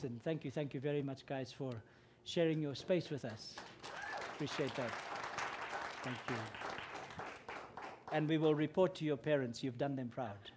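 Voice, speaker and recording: A man's voice: on the high side, a little hiss or hum. Afrikaans-man preaching. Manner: earnest, grows louder and more emphatic when preaching. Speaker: guest preacher. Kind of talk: sermon.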